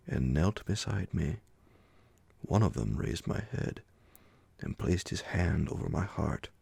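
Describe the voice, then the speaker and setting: A man reading a horror bedtime story in a slow ASMR audiobook style, with a gravelly, breathy voice that often sinks toward a whisper and dramatic, drawn-out phrasing. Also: low-pitched, darkish tone. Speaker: narrator reading a classic horror story aloud.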